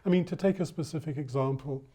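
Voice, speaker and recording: A man with a fairly high, mellow voice asks careful questions in a calm, measured way. He draws out his guest with brief murmurs of agreement. It was recorded in a listening room. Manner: measured, prompting with short affirmations. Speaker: magazine editor, interviewer. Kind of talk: interview questions.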